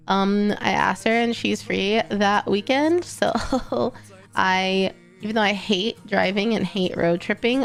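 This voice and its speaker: A woman's voice: medium pitch, creaky. A young woman streamer from Texas, sounding congested and nasal, rambling casually to her live chat and correcting herself as she goes. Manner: rambling, self-correcting, chatty.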